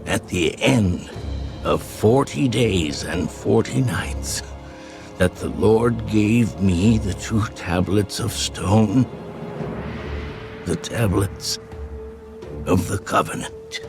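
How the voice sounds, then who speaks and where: An actor playing a solemn elderly prophet who rebukes his people in a grave, deliberate, oratorical way for a dramatized audio Bible. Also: fairly low pitch, music in the background. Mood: solemn recounting.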